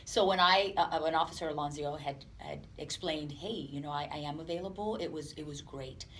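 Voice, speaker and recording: A woman with a distinctly low voice speaks off the cuff in an interview. She is earnest and halting in her phrasing, and light room noise sits behind her. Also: fairly bright tone. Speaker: police department representative, interview guest. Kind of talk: unscripted interview talk. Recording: clear background room noise.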